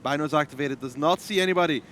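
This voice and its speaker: Guy calling esports play-by-play, quick and teasing, faint hum behind. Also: a little high, a bit bright. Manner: quick, teasing banter and brisk play calls. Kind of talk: live esports commentary.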